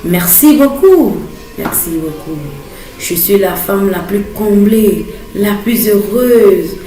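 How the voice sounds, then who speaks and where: Casting: actress as adoring cartoon bride-to-be, unhurried and gushing, echoey distant mic with faint music. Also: female voice, medium pitch, expressive, dark tone. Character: adoring young bride-to-be, dutiful daughter, dazzled by wealth.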